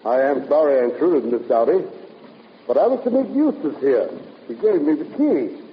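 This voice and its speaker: A man's voice: fairly high pitch, big pitch swings, moderate pace. A pompous, eccentric male professor holds forth in a vintage radio play. His delivery is mannered and slyly teasing, with very varied intonation. The old recording is muffled and reverberant, with some hiss. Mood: breezy, officious. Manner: theatrical, mannered, ponderous. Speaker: actor in a radio drama. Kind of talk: 1950s radio drama acting.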